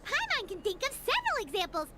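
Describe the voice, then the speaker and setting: A woman voicing a small, childlike, excitable fairy girl companion in a video game, with a squeaky voice and an animated, eager manner. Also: fast, exaggerated voice. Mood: surprised. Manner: refers to self in third person, animated. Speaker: guide and companion.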